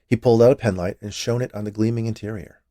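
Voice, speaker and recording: A man's voice: on the low side, fairly even intonation, fast talker, warm tone, no room sound, studio quality. A man reading scripted fiction aloud as a storyteller. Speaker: story reader.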